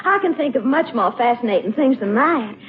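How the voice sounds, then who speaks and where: An actress playing a coy, flirtatious, genteel belle with an American Southern accent in a 1940s radio comedy. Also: female voice, a little high, expressive, fairly quick, close to an everyday voice. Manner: fluttery, coquettish. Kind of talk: 1940s radio sitcom acting. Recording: tinny narrowband 1940s radio, faint noise.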